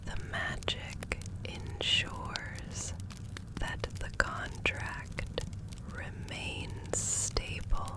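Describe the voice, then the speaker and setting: Woman playing a whispering, very slow magical consultant. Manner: slow, deliberate, soothing, measured phrasing. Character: serene, gentle female magical law consultant, soft-spoken and careful. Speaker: ASMR roleplayer. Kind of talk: whispered ASMR fantasy roleplay.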